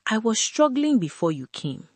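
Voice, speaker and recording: A woman storyteller voicing a poor, kind, gentle soup seller in soft, reassuring tones. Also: on the high side, sing-song, moderate pace, dry recording. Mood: firm. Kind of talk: storybook character dialogue.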